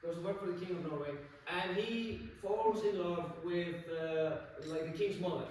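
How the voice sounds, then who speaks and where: A man's voice: high-pitched, moderate pace, darkish tone. A young man with an Icelandic accent giving a casual seminar talk, rambling with many fillers and the odd swear. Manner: rambling, filler-heavy, profane and jokey. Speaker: seminar presenter. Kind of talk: casual lecture with slides. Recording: echoey room, distant mic, faint noise.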